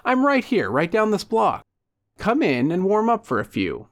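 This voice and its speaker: A man's voice: high voice, huge pitch swings, moderate pace, a bit bright, pitched above the performer's own voice, exaggerated voice. A man voicing a falsely jovial, pushy man in an audiobook, with exaggerated chummy enthusiasm. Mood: pushy, coaxing.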